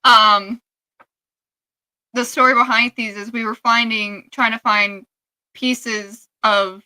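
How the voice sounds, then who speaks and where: A woman co-hosting a casual live stream, chatting in quick offhand asides. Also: a little high, fairly even intonation, bright, forceful tone, low-quality recording. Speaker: co-host.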